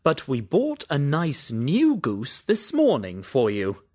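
An actor playing a brisk, eager detective in an audiobook, polite and chatty. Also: male voice, mid-range voice, heavily acted. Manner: lively, sing-song, polite and apologetic. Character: brisk, eager middle-aged male detective. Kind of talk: audiobook character reading.

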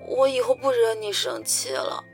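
A woman playing an awkward, soft-hearted young female soldier in an audio drama, with a hesitant, bumbling manner. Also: a little high, sing-song, normal speed, faint music behind. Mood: drunk, childlike. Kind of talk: modern audio drama acting.

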